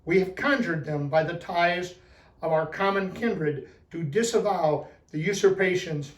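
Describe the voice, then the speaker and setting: A man with a voice a little higher than average reads a historic document aloud. His delivery is formal, like a public recitation. The pickup is roomy and somewhat away from the microphone. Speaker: public reader at a commemorative event. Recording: roomy echo, distant microphone.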